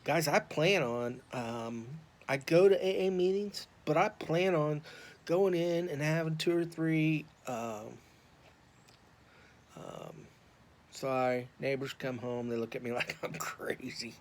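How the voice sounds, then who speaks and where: An American man talking off the cuff to viewers, rambling and halting but earnest and informal. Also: fairly high pitch. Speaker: YouTube vlogger and podcaster on addiction recovery. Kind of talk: impromptu, unscripted casual monologue to viewers. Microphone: phone. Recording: clear background noise, raw phone recording.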